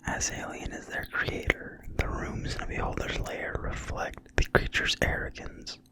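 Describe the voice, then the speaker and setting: A narrator reading from a book entirely in a quiet, breathy whisper, slowly and deliberately, in ASMR style. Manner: slow, deliberate, hushed reading with pauses. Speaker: narrator reading from a fantasy game manual.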